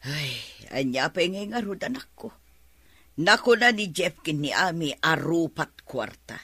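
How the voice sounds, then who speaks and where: A woman's voice: sits low, lively intonation, measured pace, sharp tone, husky, no room sound, clean studio sound, heavily acted. A middle-aged woman acting a worried, scolding mother in an Ilocano radio drama. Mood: worried, confiding.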